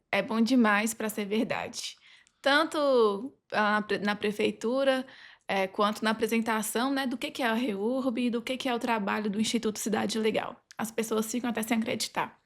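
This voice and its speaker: A woman with a Brazilian accent speaking as a podcast guest, sharing experiences from her job in a conversational, anecdotal style. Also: a little high. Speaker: podcast guest, legal advisor.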